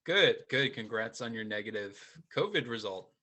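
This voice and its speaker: A man's voice: fairly low pitch, expressive, normal speed, sharp tone. A man chatting as a guest in an easygoing, laid-back way, still getting over a cough. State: lingering cough from a cold. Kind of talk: casual chat over video call.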